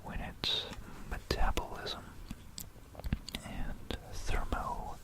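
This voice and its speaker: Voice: very slow, poor audio. A person whispering an informal, musing history talk for ASMR, correcting themselves along the way, close to a stereo microphone. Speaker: ASMR video creator. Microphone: close stereo binaural microphone.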